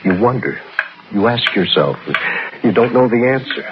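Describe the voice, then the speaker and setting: A man's voice: medium pitch, lively intonation, moderate pace, over loud music, close to an everyday voice. An actor playing an anxious, overprotective middle-aged father in a 1960s radio suspense drama, with a fretful, brooding, melodramatic delivery. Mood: brooding, bitter. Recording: noisy, dull 1960s radio recording.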